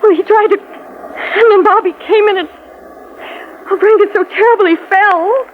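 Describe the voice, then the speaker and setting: A gentle young heroine in danger on old-time radio. Her voice is very high and slow, halting and fearful, heard through a tinny, narrow vintage recording. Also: a little hiss or hum. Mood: shaken, tender. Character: frightened, gentle young woman in peril. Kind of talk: 1940s radio acting.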